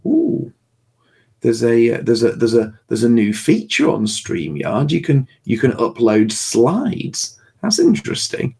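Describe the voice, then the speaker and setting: A man with a slightly hoarse, tired voice chatting casually and haltingly as the host of a livestream. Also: medium pitch, wildly swooping pitch, dark tone. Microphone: livestream call microphone.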